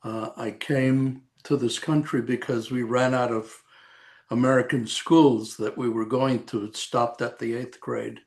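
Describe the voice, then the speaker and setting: Slow, rambling man in his eighties. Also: medium pitch, fairly even intonation, a little mellow. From El Salvador. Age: 80s. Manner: slow, rambling, halting with frequent "uh". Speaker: retired radiation oncologist, guest. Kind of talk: reminiscing interview.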